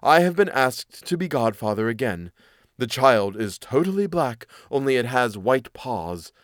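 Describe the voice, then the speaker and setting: A sly male cat from a fairy tale, voiced by a man. He talks smoothly and persuasively, cool and sure of himself, with lively rises and falls. The sound is dry. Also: medium pitch, measured pace, dry recording. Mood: coaxing. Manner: persuasive, cool, self-assured.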